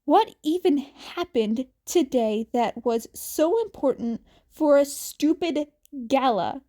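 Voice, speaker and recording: A woman's voice: high-pitched, expressive, slow pace, dark tone. A woman narrating a piece of fan fiction as an amateur reader, in a scripted storytelling style.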